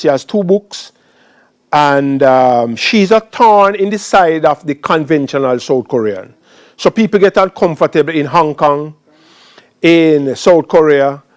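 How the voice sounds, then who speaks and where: A man hosting a poetry festival, introducing guests in florid, rhetorical, deliberate phrases. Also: a little high. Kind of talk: spoken introductions at a literary event.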